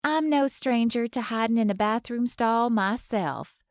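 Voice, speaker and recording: A woman's voice: on the high side, a little slow, dry recording, clean studio sound. A woman voicing a kindly, no-nonsense older Southern woman with a Southern accent. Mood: wry. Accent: American Southern.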